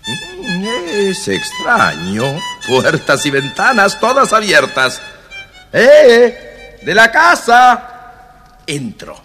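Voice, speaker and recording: A cowardly, chattering young fiddler from a storybook. His bright, highish voice swings wildly, repeating and exclaiming in unhurried bursts, with long reverb around it. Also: huge pitch swings, full character voice. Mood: wary bravado. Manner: stammering, repetitive, exclamatory. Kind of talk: audio storybook character acting. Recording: long reverb, faint music behind.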